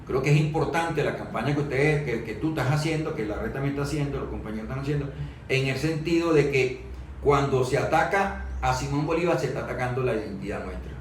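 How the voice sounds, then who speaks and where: A man giving explanatory historical and political commentary, haltingly repeating words as he searches for phrasing. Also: medium pitch, lively intonation. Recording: echoey room, distant microphone, background noise.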